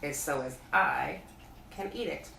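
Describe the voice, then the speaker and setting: A woman voicing a simple, earnest male storybook bear who loves honey and reasons slowly and ponderously. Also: low-pitched, wildly swooping pitch, voice pushed down, heavily acted. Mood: pondering. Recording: echoey room, distant mic, faint hiss.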